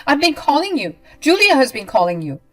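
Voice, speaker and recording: Woman putting on a cruel mother-in-law, shrill, loud, sneering, contemptuous, story narration with faint music behind. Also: very animated, fairly quick. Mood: yelling.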